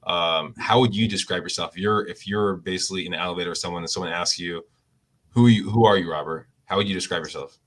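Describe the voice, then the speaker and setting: A man's voice: low-pitched, fast talker, a bit bright. A man hosting a business podcast, asking loose, rambling questions in a friendly, casual way. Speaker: podcast host.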